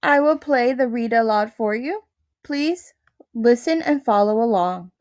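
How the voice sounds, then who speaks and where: Slow, gentle woman teacher. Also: a little high, animated, dry recording, studio quality. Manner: slow, careful, warm-hearted delivery to young kids. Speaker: first grade teacher. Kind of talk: slow, gentle classroom address to children.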